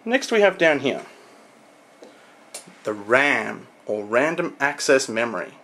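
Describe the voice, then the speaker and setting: A young adult man talking informally to a camera in a home room, explaining things in a halting, casual showcase style. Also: mid-range voice, sing-song, fairly bright tone. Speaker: tech vlogger. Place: small home room, indoors.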